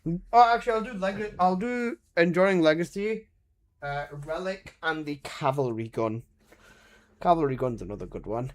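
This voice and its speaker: A man's voice: a little high, animated, moderate pace. A man in his twenties streaming a game, chatting casually with his chat and bantering with lots of swearing and laughter. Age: 20s.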